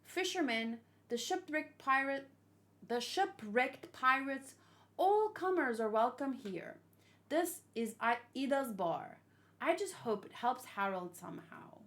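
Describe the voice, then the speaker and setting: A woman reading manga dialogue as a kind, caring female barkeeper, gentle and earnest. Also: sits high, lively intonation, slow pace, full character voice. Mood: welcoming, hopeful. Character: kind, caring woman who runs a bar. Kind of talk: manga dialogue read aloud.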